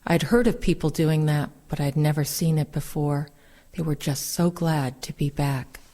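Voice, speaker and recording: Mellow, very low-voiced woman reading. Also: moderate pace. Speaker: reader of veterans' oral histories. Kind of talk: reading first-person war memoirs aloud.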